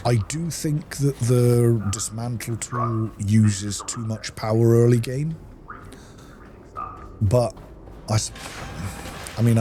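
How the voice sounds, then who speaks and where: A man's voice: fairly low pitch, noisy background. A man giving a casual gameplay walkthrough, explaining things in practical asides to the viewer. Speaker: gaming video host.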